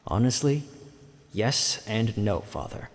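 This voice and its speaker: A man's voice: on the low side, animated, slow pace. A man playing a young turtle warrior and dutiful son in an audio drama, earnest, hesitant and respectful. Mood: doubtful. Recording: long reverberant hall echo.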